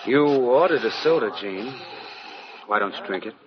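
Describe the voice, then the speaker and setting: An actor playing a wry, jealous out-of-work actor and boyfriend in a 1940s radio drama, on a muffled old recording. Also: male voice, medium pitch, animated, moderate pace, lightly acted. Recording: muffled vintage radio recording, crowd behind. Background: busy crowd chatter.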